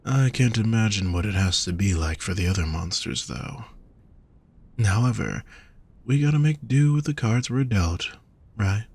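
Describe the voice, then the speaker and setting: A man voicing a gentle, teasing, affectionate demon in an intimate audio roleplay, speaking softly and tenderly to the listener. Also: fairly low pitch, lively intonation, breathy edge. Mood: gentle, curious. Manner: soft, unhurried, tender teasing.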